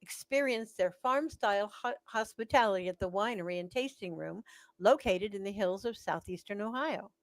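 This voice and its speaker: A woman's voice: medium pitch, moderate pace, no echo. A woman announcing and narrating a radio drama in a scripted style.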